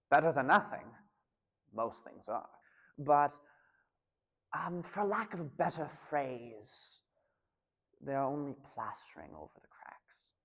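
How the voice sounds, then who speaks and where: A man playing a halting, formal government research fellow with dry understatement, explaining himself in an analog horror archival interview. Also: fairly high pitch, a bit bright, full character voice. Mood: wry, uneasy. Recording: echoey hall with long reverb.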